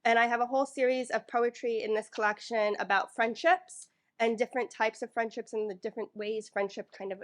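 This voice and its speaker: A woman from New York with a somewhat high voice reads her poems at a microphone before a library audience. Between pieces she sounds a little nervous and fills gaps with ums, then settles into a measured reading. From New York, United States. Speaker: featured poet. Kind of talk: reading her own poetry live. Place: public library event room. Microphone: microphone in front of a live audience.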